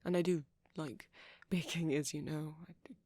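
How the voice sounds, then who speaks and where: A performer playing a shy, caring vampire boyfriend in an intimate ASMR roleplay, speaking softly and breathily with hesitant pauses. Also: mid-range voice, fairly even intonation, dry recording, natural voice. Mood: shy, reflective. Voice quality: breathy, often near whisper. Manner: soft-spoken, breathy, halting with gentle pauses.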